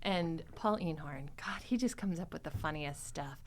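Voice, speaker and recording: A woman hosting a podcast in casual, animated chat, often slipping into quoted dialogue. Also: sits low, moderate pace. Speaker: podcast host.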